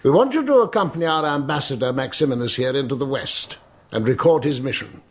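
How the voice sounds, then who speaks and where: An actor in a radio drama playing a shrewd, thrifty emperor, courteous but commanding, with a dry, husky delivery. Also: male voice, mid-range voice, animated, quick pace. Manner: clipped, formal, dry.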